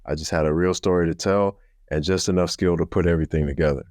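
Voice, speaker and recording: A man with a deep voice giving a practical, matter-of-fact how-to overview, speaking deliberately slowly and clearly. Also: steady tone, darkish tone, dry recording, studio quality. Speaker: video creator giving a how-to. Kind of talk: instructional talk to camera.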